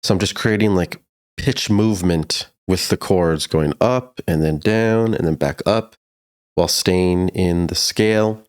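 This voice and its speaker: A man's voice: sits low, unhurried, darkish tone, smooth. A man giving a casual, slangy music production tutorial in a laid-back but enthusiastic way.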